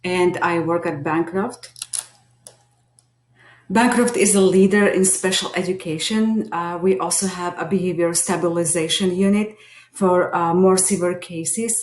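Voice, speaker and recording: A woman's voice: lowish voice, fairly even intonation, measured pace, a little mellow. A middle-aged woman from Slovakia with a Slovak accent, giving an earnest, hesitant introduction of herself to a class. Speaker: teacher lead and behavior analyst. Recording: echoey room.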